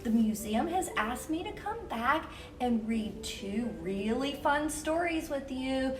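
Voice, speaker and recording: High-voiced, unhurried woman reading to children. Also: recorded from a distance. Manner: expressive, exclamatory, dramatic emphasis on key words. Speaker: children's storyteller and event host. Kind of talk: animated children's picture-book read-aloud. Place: University museum gallery.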